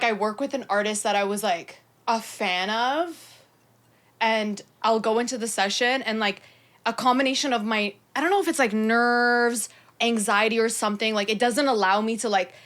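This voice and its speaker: A woman's voice: normal speed, a bit bright. Chatty young woman, podcast guest. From Persian heritage, fluent in Farsi. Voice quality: low-pitched singing voice. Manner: chatty, heavy use of "like", laughs often. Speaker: guest singer-songwriter. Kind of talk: casual podcast chat.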